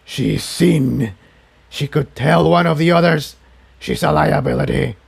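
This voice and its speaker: A man's voice: fairly high pitch, a little slow, creaky, slightly rough, full character voice. A man voicing a ruthless alien presence in a storybook reading, commanding and emphatic. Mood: cold, insistent. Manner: imperious, emphatic. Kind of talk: storybook villain voice.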